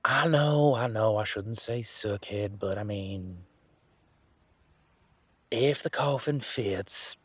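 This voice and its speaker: A man acts out a towering, easygoing werewolf bodyguard with country roots. His voice is low and rough-edged, recorded dry and close. He talks informally and teasingly, with folksy phrasing and lingering hesitations, as in an intimate roleplay drama. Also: takes it slowly, pauses often, fairly bright tone, no echo, full character voice. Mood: wry, chatty. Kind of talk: roleplay audio drama, one-sided conversation.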